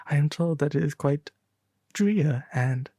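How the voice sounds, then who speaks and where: A man voicing a refined owl demon prince, reading a fairy tale as a soft, theatrical ASMR storybook roleplay. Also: on the high side, no echo, clean studio sound. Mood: gentle, wistful. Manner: refined, theatrical, unhurried, breathy asides.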